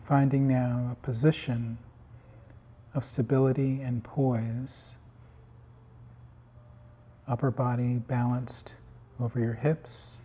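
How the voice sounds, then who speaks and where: A man guiding a meditation in calm, unhurried short phrases with long pauses, his voice slightly husky. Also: medium pitch. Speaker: meditation guide. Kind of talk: guided body scan meditation.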